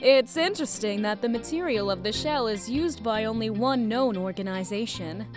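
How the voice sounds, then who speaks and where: A woman playing an eccentric, curious lab scientist in an audio drama, musing and rambling in an excitable way. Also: on the high side, normal speed, over music, close to an everyday voice. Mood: intrigued, musing. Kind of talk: scripted audio drama acting.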